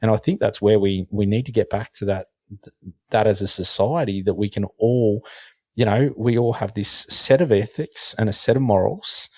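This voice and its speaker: Australian man, middle-aged, lowish voice, earnest, podcast guest, dry close mic. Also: normal speed, no room sound. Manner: earnest, repeats words when starting sentences. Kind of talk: casual podcast discussion.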